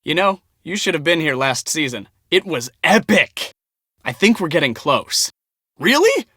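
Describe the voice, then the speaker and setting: A young male storm chaser and photographer in a game. He is enthusiastic and casual, with a slightly high, forceful bright voice. The recording is very dry, with no room sound. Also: big pitch swings, a little slow, slightly rough, no echo, full character voice. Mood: confiding. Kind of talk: casual scripted game dialogue.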